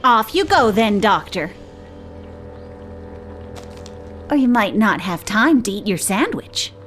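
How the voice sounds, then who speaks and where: An actress playing a fond, chatty, gently bossy woman telling family stories in a video game drama. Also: mid-range voice, very animated, normal speed, faint music behind. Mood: brisk.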